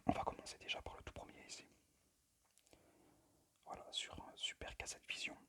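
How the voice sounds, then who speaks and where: A man speaking in a breathy, unvoiced whisper into a phone microphone, presenting informally in ASMR style with a hesitant, unpractised manner. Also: moderate pace. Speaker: amateur ASMR creator and game collector. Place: at a desk indoors.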